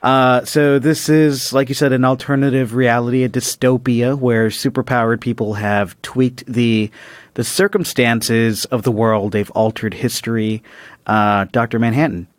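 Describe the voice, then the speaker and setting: A man's voice: mid-range voice, fairly even intonation, measured pace, dry recording. Conversational male podcast co-host, dry sound. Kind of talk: casual discussion with story summary.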